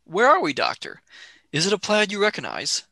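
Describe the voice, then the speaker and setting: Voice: mid-range voice, wildly swooping pitch, brisk, gravelly, pushed and bright, dry recording, studio quality, full character voice. A performer playing a cheeky, impatient young male adventurer in a radio play, breezy and argumentative. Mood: impatient, curious. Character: cheeky, impatient young man, time-travelling companion.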